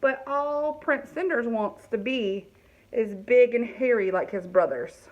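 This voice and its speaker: A woman reading a picture book aloud to children, pausing to explain the story and ask questions. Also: on the high side, animated, dull audio. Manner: explanatory, asks listeners questions.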